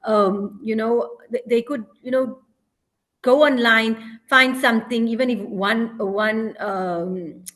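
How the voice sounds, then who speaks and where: A woman's voice: on the high side, takes it slowly, fairly bright tone. A South African woman, a teacher working abroad, speaking in a casual podcast interview, hesitant and full of ums and "you know".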